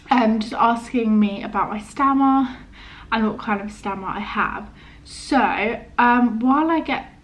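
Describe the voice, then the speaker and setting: Hesitant young woman chatting casually. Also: fairly high pitch. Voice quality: stammer. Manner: hesitant, halting, trailing off. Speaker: vlogger. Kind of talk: casual chat to camera.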